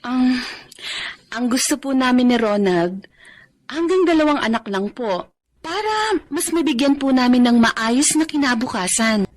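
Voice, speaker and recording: An actress playing a gentle, devoted wife and mother in a radio drama, polite and earnest. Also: sits high, lively intonation, a little slow.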